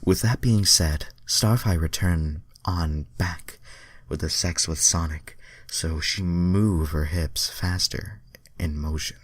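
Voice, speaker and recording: A man with a low voice reads a fan story aloud in an amateur style. He stumbles over lines and drops into casual, self-deprecating asides, and the sound is dry. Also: takes it slowly, slightly rough, no room sound. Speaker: reader of fan fiction.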